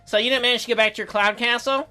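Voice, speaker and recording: A man's voice: extremely high pitch, fairly even intonation, rapid-fire, pushed and bright, soft background music, pitched above the performer's own voice, heavily acted. A man playing an awkward, polite, sceptical boy in a story game, with hesitant, stammering delivery. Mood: friendly.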